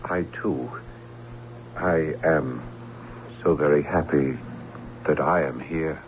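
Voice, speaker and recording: An actor playing a grave, mournful nobleman bridegroom, halting and sombre, in an old-time radio drama. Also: extremely low pitch. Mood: mournful, solemn. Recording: muffled, noisy old radio recording.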